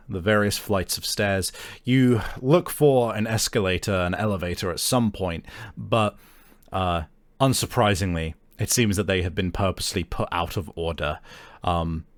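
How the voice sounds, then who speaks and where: Male game master, low register, unhurried and deliberate narration for a tabletop session. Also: no echo.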